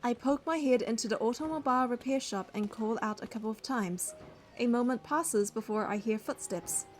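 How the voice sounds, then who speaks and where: An actress playing a caring, curious young heroine who narrates and speaks in a romance game, measured and earnest. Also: female voice, a little high, faint music behind, close to an everyday voice. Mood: measured, observant. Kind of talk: first-person narration and dialogue in a romance game.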